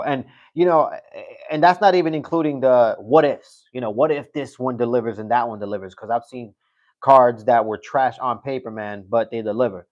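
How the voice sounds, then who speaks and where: A man's voice: medium pitch, highs cut off. A man hosting a live stream, chatting loosely as he rambles, repeats himself and swears now and then. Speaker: livestream host. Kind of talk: casual live-stream commentary.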